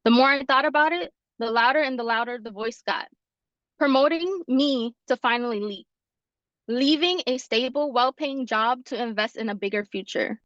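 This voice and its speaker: A woman in her twenties from New York, reading a prepared personal story over a video call with steady, earnest delivery. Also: a little high, moderate pace, no room sound, muffled recording. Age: 20s. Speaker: fellow presenting her story.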